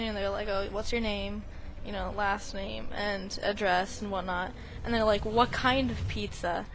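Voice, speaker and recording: A woman doing casual, rambling gameplay commentary in a playful, giggly way as the host of a gaming video. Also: mid-range voice. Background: game sound.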